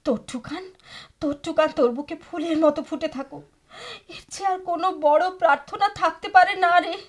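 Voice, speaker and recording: Woman acting a high-voiced, sorrowful, wistful married woman. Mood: urgent, resigned. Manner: emotional, wistful, halting delivery. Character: Mita, sorrowful married woman longing for a lost love. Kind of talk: Bengali audio drama acting. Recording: music behind the voice. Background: music with woodwind.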